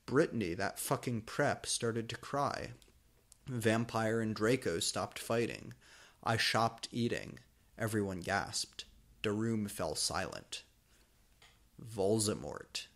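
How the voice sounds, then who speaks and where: A man's voice: lowish voice, takes it slowly, fairly bright tone. A man narrating amateur fan fiction as a comic dramatic reading, with exaggerated, mock-serious emphasis.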